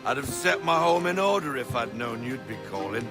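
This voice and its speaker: A man's voice: medium pitch, animated, normal speed, vocal fry. An actor playing a blustering, grizzled old sailor, proud of his ship, with theatrical, emphatic delivery in a video game scene. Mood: gruff, defensive. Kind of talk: video game cutscene acting. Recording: music behind the voice. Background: music.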